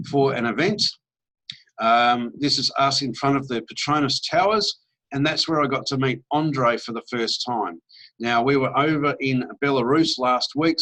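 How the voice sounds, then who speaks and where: A man's voice: medium pitch, a bit bright, poor audio. A middle-aged Australian man giving an upbeat, persuasive sales presentation with casual fillers. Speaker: network marketing presenter.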